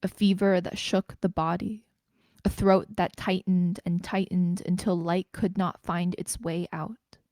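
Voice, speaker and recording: A woman's voice: lowish voice, level tone, a little slow, mellow, dry recording. A woman, a spoken word poet performing and introducing her own work. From Canada, Greater Toronto Area.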